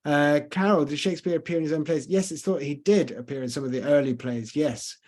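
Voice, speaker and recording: A man's voice: fairly high pitch, steady tone, a little fast, a little mellow. Tour guide, male, London accent with slight Scottish traces, chatty, hesitant, video call mic. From Scotland and London. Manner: chatty, hesitant with many fillers. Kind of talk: casual online lecture with Q and A. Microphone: computer mic on video call.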